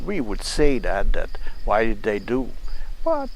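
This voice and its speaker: A man narrates a mock documentary slowly, with halting dramatic pauses and non-native phrasing. His pitch swings widely though the delivery stays deadpan. There is light outdoor noise with insects around the voice. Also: creaky, low-quality recording. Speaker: presenter of a short film.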